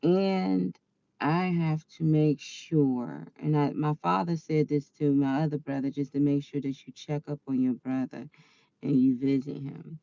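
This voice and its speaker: A woman around forty telling a personal story in a chatty, animated way, quick to laugh. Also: sits low, vocal fry, dry recording, lo-fi sound. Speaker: vlogger.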